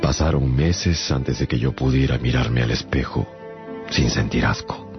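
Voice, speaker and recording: A weary castaway who has turned away from humankind. His voice is extremely deep and creaky, grave and brooding, with halting phrases. It is a radio drama in slightly dull sound, with music clearly behind him. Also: heavily acted. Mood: reflective, weary. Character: weary haunted castaway traveller, estranged from humankind. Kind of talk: Spanish-language radio drama, first-person narration and dialogue.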